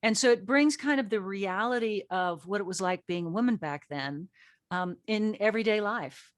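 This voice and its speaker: A woman's voice: medium pitch, normal speed, no room sound, clean studio sound. A woman hosting a casual group interview as a show's writer, joking in a playful, self-deprecating way. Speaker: host and writer of an audio drama.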